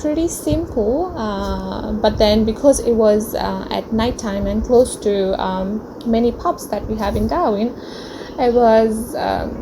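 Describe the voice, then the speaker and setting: A woman's voice: a little high, takes it slowly, background noise. A young woman, English not her first language, telling a personal story to camera in a chatty way with many ums and uhs, in a small room. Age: young adult. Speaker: vlogger.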